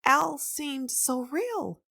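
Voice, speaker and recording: A woman playing a distraught young wife shaken by a dream, halting and emotional, in a dramatic comic dub. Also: high voice, animated, vocal fry, bright, forceful tone, heavily acted. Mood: distraught, pleading.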